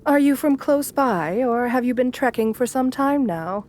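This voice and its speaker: A woman acts out an elegant vampire countess with a fairly high voice. She is assertive and flirtatious, doting on the listener with genteel manners in an intimate ASMR roleplay. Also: expressive, moderate pace, a little mellow, slight background noise. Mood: gracious, charming. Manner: genteel, doting, uses pet names.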